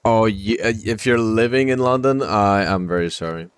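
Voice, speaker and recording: A man's voice: lowish voice, normal speed, clear, resonant tone, no echo, clean studio sound. A man from Czechia with a Czech accent, chatting casually with viewers during a live game stream, reading their messages aloud and mumbling short asides. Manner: relaxed, mumbling asides, repeats short phrases.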